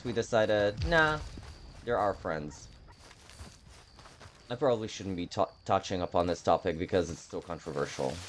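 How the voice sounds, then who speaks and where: Guy streaming a game, rambly and sweary, pitch jumps around a lot, some noise behind him. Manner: rambling, self-deprecating, swears often. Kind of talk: casual gameplay commentary.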